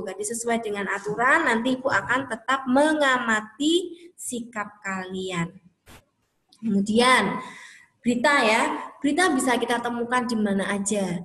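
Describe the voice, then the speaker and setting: A woman schoolteacher speaks in a fairly high voice in a roomy, echoing space. She talks to children in a chatty, encouraging way, explaining the lesson and addressing them directly. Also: a bit bright. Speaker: school teacher. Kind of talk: recorded classroom lesson for children. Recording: echoey, roomy sound.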